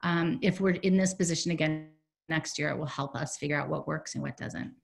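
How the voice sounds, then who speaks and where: Woman, forties, hoarse, chatty, filler-heavy, lowish voice, laptop call audio. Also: brisk. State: hoarse, losing her voice. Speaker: program director presenting a webinar. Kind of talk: casual webinar presentation. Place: home room, indoors. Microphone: video call, laptop mic.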